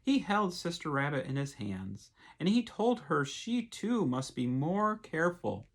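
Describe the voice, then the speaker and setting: A man, a pastor, reading and talking to children in an expressive storytime style. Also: on the high side, measured pace. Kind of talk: expressive storybook read-aloud with casual asides.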